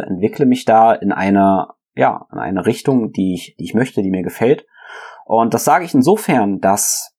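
A man's voice: lowish voice, darkish tone. A young man hosting his own podcast, talking earnestly and reflectively about his path, with frequent fillers and repeated words. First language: German. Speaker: podcast host. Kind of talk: reflective solo podcast monologue.

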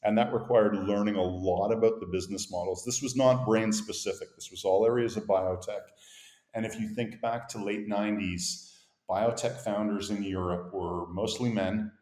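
A middle-aged man with a Canadian accent, a company founder telling his career story in measured, articulate sentences. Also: lowish voice, fairly even intonation, a little mellow. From grew up in Montreal, born in Boston. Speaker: guest, company founder and CEO. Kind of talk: interview conversation. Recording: echoey room.

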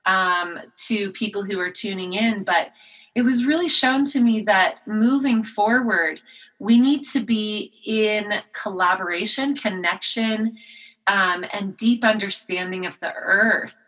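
A woman's voice: mid-range voice, fairly bright tone, rough recording. A woman hosting a podcast interview, enthusiastic and gushing, stopping and restarting often. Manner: enthusiastic, gushing, halting with fillers. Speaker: podcast host.

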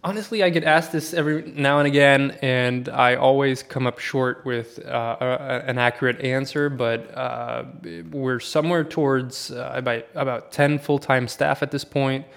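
A man raised in Sweden talks casually as a podcast guest, with a gravelly edge to his mid-pitched voice. He rambles, stops and restarts, and fills the gaps with plenty of "uh" and "you know". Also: normal speed, hardly any pauses. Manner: relaxed, rambling with many fillers and restarts.

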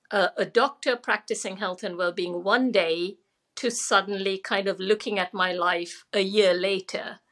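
A woman's voice: mid-range voice, a bit bright. A middle-aged woman from Sri Lanka, telling her personal story conversationally as a podcast guest. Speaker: podcast guest, former doctor and wellness coach.

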